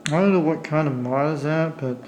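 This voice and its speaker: A man giving a casual, unscripted show-and-tell in a halting, apologetic way, as a hobbyist talking while he handles his camera. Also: fairly high pitch, a little mellow, slight background noise. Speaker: amateur radio hobbyist showing a device. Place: indoors at a table. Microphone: handheld camera's own microphone.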